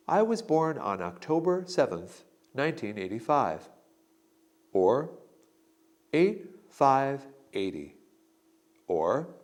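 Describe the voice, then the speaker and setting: Male lesson narrator, slow and deliberate, pitch rising and falling widely as he voices sample replies. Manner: slow, deliberate, model answers read with emphasis. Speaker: instructor giving sample answers. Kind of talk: scripted instructional narration.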